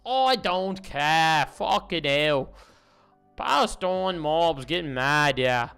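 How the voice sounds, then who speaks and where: Brief: man doing a whiny, dismissive young British woman, high and sing-song, openly mocked. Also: expressive, slow pace, gravelly, a bit bright, clean tone, soft background music, full character voice. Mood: mocking. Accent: put-on British.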